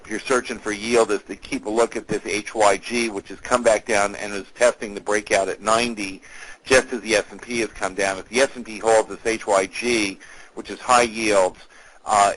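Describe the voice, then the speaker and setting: A man hosting a call as an analyst, giving a semi-scripted market briefing in a measured, businesslike, explanatory way. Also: fairly low pitch, a bit bright, poor audio.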